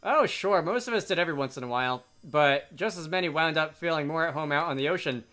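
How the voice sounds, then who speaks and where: Guy doing a hearty young sailor from a game, animated, bright, slightly high. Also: fast. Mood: reminiscing. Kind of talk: reading game dialogue aloud. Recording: game music behind.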